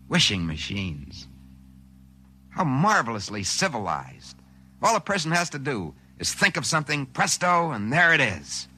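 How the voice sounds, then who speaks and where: Excitable everyman narrator, bright voice. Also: mid-range voice, slight background noise. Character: excitable ordinary man narrating his sudden good fortune. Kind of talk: 1950s science fiction radio drama acting. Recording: old radio show with music behind.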